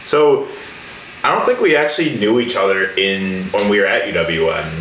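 A man's voice: lowish voice, animated, normal speed, a bit bright, echoey room, a little hiss or hum, rough recording. A young man hosting a podcast interview, rambling casually with lots of fillers. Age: young adult. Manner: rambling, many likes and fillers. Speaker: podcast host.

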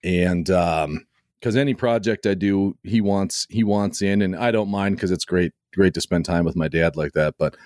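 A man with a slightly scratchy, hoarse voice, chatting casually on a podcast and rambling with frequent restarts. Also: low-pitched, normal speed, a little mellow, no room sound, clean studio sound. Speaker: podcaster guest. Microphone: home podcast mic.

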